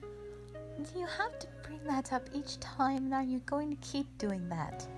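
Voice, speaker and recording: A woman putting on a bubbly young female soldier in an audio drama, pitched up high. She is teasing and informal, the playful best friend, with soft music behind her. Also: lively intonation, slow. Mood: whiny. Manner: playful, informal, whiny when tired. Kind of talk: dramatic audio roleplay acting.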